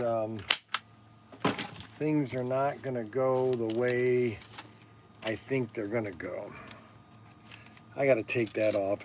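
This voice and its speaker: A man in his sixties hosting a casual, rambling livestream, halting and often repeating himself as he stumbles over words. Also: medium pitch, a little mellow, a little hiss or hum. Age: 60s. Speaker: livestream host selling hobby toys and model cars.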